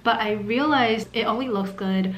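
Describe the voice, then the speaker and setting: A woman's voice: on the high side, normal speed, a little hiss or hum. A woman in her twenties chatting casually in a lifestyle vlog. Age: 20s. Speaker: vlogger. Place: indoors in apartment rooms. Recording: echoey room, music underneath.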